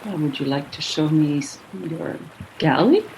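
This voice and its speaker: A woman's voice: low-pitched, lively intonation, a little mellow, slight background noise, low-quality recording. An actress playing a tipsy, flirtatious woman passenger in a radio play, stammering and coy. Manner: halting, stammering.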